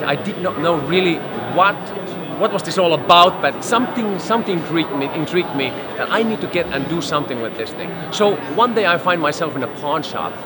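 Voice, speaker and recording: A middle-aged man from Finland, a photographer telling stories in an interview on a busy trade show floor. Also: on the high side. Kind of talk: casual interview storytelling. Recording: loud crowd noise behind.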